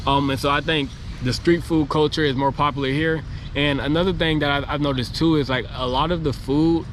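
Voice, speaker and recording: A man's voice: on the high side, steady tone, a little fast. A man who is losing his voice, talking casually to camera outdoors in a rambling, chatty way with lots of fillers. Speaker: travel vlogger. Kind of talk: casual vlog talk to camera. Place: outdoors on a city street near construction. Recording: noisy street with passing traffic. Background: traffic and city street noise.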